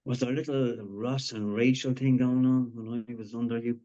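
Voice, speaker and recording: A man's voice: medium pitch, little pitch movement, moderate pace, warm tone, lightly acted. A man playing a cocky, foul-mouthed wisecracker in a live script reading. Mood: cocky, irreverent. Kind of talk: live screenplay table read acting. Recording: dull lo-fi mic.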